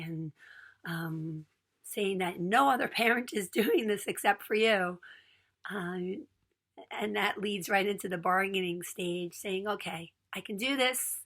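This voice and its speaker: A hoarse woman in her forties sharing a heartfelt personal message in a raspy voice, earnest and pausing with frequent ums. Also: medium pitch, bright, forceful tone. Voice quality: gravelly, raspy voice. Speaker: small business owner.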